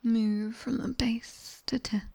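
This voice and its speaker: A sharp-tongued British nightmare demoness, played by a woman, tutors in an intimate ASMR roleplay. Her voice is very breathy and mostly whispered, soft and mellow, with some creak at the edges. She gives commands very slowly, with dry, teasing strictness. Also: on the high side, little pitch movement, full character voice. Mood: calm, instructing. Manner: slow, commanding, dryly teasing. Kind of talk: ASMR roleplay, guided body scan.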